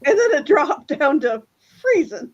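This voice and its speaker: A woman's voice: high voice, big pitch swings, a little slow, a little mellow, highs cut off. A woman telling rambling everyday stories over a video call, laughing often as she talks. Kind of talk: casual group chat.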